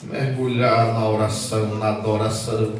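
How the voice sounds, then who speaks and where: A Brazilian pastor in his forties preaching a sermon with fervor, swinging from loud outbursts to low, earnest tones. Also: level tone, normal speed, a little hiss or hum. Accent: Brazilian Portuguese. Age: 40s. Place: church hall. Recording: echoey hall, mic at a distance.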